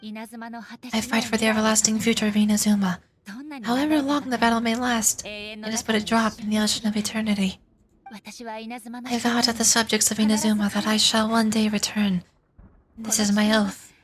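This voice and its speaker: A woman's voice: on the high side, little pitch movement, normal speed, long pauses, close to an everyday voice. A woman playing a solemn, resolute immortal ruler and warrior in a video game, speaking in a formal, grave, declamatory manner. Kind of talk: video game dramatic voice acting.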